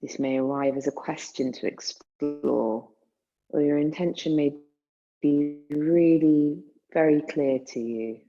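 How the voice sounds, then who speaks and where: A woman guiding a meditation in a soothing, unhurried manner, leaving long pauses between phrases. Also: deep voice, warm tone, muffled recording. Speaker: yoga nidra meditation guide. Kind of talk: guided meditation.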